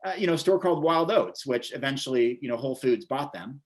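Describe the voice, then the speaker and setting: A man's voice: medium pitch, animated, fast. Rambling American man in his forties. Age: 40s. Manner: rambling, repeats words and phrases. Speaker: interview guest, vegan bodybuilder and author. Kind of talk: casual interview storytelling.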